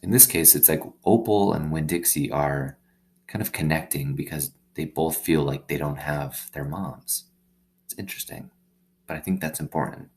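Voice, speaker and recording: A man reading a children's story aloud like a teacher, pausing to explain simply and check in with little questions. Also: very deep voice, a little mellow. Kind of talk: read-aloud with explanatory asides.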